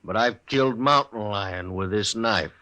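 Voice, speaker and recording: A man's voice: fairly low pitch, measured pace, pushed and bright. An actor playing a huge, proud mountain man in a radio western, speaking bluntly in a rustic frontier drawl. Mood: threatening. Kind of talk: old-time radio western acting.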